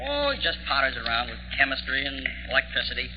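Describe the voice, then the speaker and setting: An actor playing a breezy, teasing eighteen-year-old son in a 1940s radio drama. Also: male voice, medium pitch, huge pitch swings, fast talker. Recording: muffled, noisy vintage radio recording.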